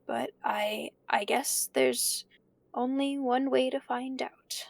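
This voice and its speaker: An actress playing a troubled, brooding young woman confiding haltingly in an audio drama, with a creaky voice. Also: high voice, fairly even intonation, no echo, full character voice. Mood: resigned. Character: troubled young woman haunted by dead relative. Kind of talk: audio drama monologue, intimate confessional recording.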